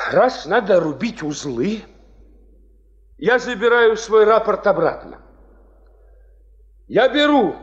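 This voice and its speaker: An actor playing a principled, skeptical mining engineer in a 1970s Soviet radio play, speaking in a measured, formal, resolute way. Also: male voice, high voice. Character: principled, skeptical male veteran mining engineer and party leader. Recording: dull, band-limited 1970s radio recording.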